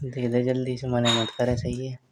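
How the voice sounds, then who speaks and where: A man's voice: mid-range voice, monotone, moderate pace. A man chatting casually on a phone call, coaxing and repeating his questions. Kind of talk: casual intimate phone chat. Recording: clearly noisy phone call recording.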